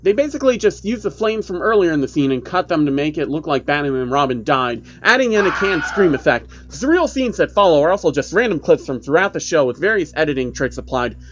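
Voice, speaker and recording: A man's voice: a little high, very animated, fast, hardly any pauses, fairly bright tone, muffled recording, a little hiss or hum. A man voicing a chatty male YouTuber who explains creepy cartoon myths emphatically, in online video style. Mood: brisk debunking.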